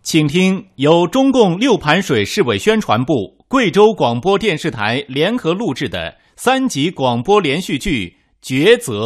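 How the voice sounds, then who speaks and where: A man announcing a radio drama and its credits in a formal, scripted style. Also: a little high. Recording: clear music behind the voice.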